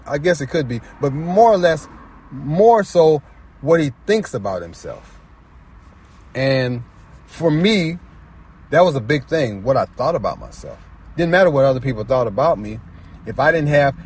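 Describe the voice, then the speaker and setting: A man's voice: a little high, darkish tone, breathy edge. A man speaking as a guest author, talking reflectively and conversationally about his own writing, repeating phrases for emphasis.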